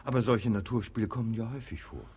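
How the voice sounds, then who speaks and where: An actor playing a sensible, sceptical brother in a radio play, reasoning in a dry manner. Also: male voice, lowish voice, quick pace, a little mellow, slight background noise, close to an everyday voice. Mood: dry, reassuring. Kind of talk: German radio play acting.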